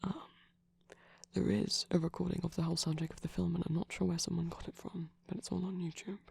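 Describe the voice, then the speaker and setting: Soft-spoken, husky, mellow ASMR rambler. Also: medium pitch, little pitch movement, quick pace. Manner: rambling, enthusiastic storytelling with hesitations. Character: shy, nerdy, affectionate vampire boyfriend. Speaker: ASMR roleplay performer.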